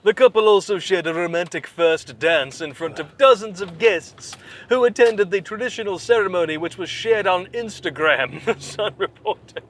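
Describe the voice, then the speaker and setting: A man's voice: high voice, normal speed, slight background noise. A man co-hosting a casual comedy podcast, reading out a news story and riffing on it with laughs. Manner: chatty, laughs often, riffs on stories. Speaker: podcast co-host.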